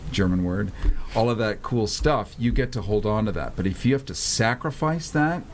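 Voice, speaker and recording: Low-voiced male talk radio host, punchy and opinionated, slight noise behind. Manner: animated, opinionated, talks in rapid bursts. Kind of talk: radio interview.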